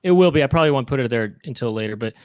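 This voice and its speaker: A man's voice: mid-range voice, animated, racing, smooth, dry recording. A man hosting a casual live online chat show, talking in a loose, rambling way with many fillers. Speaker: host.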